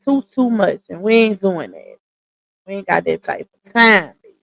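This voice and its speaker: A woman hosting a casual livestream, chatting with viewers, greeting them by name and calling them y'all, her voice starting to go hoarse. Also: mid-range voice, expressive, normal speed, fairly bright tone, no room sound. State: voice going hoarse. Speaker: livestream host.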